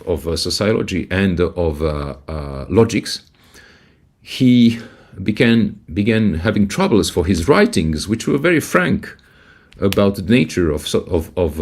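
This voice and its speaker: An older man from Yugoslavia speaking as an interview guest on a video call, halting with many restarts and fillers. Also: low-pitched. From Yugoslavia, of Serbian origin. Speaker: guest, writer and translator. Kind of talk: conversational interview answer.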